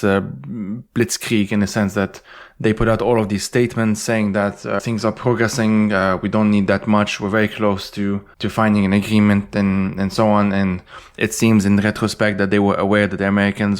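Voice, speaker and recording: A man from Lebanon, a historian giving interview answers in a thoughtful, hedging way with frequent restarts. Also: on the low side. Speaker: guest historian and essayist.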